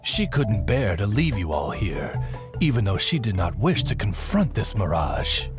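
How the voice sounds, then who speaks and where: A man's voice: on the low side, sing-song, a little slow. A man voicing a courteous, dignified familiar who serves a princess, speaking in formal butler-like phrases over music in an anime game. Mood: tactful. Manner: formal, polite butler-like speech. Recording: clear music behind the voice.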